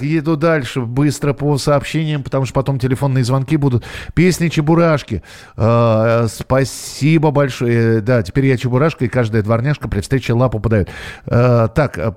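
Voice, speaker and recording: A man's voice: medium pitch, a little mellow. A man hosting a radio show, chatting casually and reading out messages, thinking aloud as he goes.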